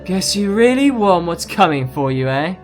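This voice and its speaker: A woman's voice: lowish voice, sing-song, moderate pace, over music. A woman playing a crude, menacing, impatient villain in an audio drama, taunting with a swagger. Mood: menacing impatience.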